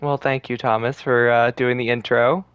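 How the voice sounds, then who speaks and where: A man's voice: mid-range voice, level tone, normal speed, clear, resonant tone, no room sound, lo-fi sound. Casual, joking male game streamer. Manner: joking, informal. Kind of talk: casual livestream commentary.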